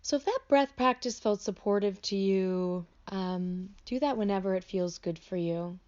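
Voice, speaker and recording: A woman teaching a guided meditation in an unscripted, gentle way, offering instructions as tentative invitations. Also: mid-range voice, moderate pace, lo-fi sound. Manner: gentle, hesitant, invitational phrasing.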